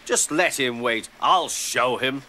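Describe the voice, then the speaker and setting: A man's voice: medium pitch, takes it slowly, sharp tone, slight background noise. Man as a huffy, cheeky little tank engine. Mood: indignant fuming. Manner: huffy, boastful.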